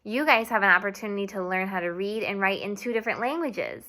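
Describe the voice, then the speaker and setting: A young woman teacher giving a video lesson to children, speaking slowly and clearly and switching between English and Spanish. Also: mid-range voice, sharp tone. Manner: slow and clear, mixing English and Spanish, asks students to repeat. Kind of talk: classroom video lesson for kids.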